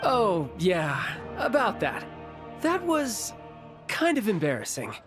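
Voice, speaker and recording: A playful young man in an anime game, casual and teasing like a friendly big brother. His intonation is lively and swinging, with faint music underneath. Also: sits high, takes it slowly, heavily acted. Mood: breezy, sheepish. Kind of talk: anime video game voice acting.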